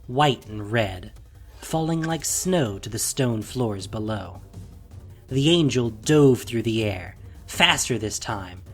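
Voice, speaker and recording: Male narrator for fantasy audio drama: husky, breathy, deliberate and dramatic, over faint music. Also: mid-range voice, a bit bright.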